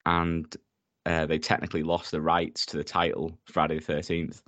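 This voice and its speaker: A man co-hosting a podcast, sick with a rough voice that is deeper than usual, laying out facts steadily. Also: normal speed, no room sound. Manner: steady, presents facts and synopsis methodically. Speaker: podcast co-host. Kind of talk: casual chat.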